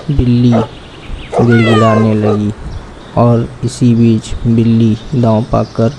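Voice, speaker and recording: Slow, monotone man narrating a children's story. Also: mid-range voice, vocal fry, background noise.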